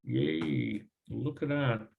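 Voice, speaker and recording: A man from Croatia with a Croatian accent, a guest speaker giving a presentation over a video call, pausing often with ums and uhs. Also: lowish voice, wildly swooping pitch, creaky, phone-quality sound. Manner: halting, with frequent ums and uhs. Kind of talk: online presentation.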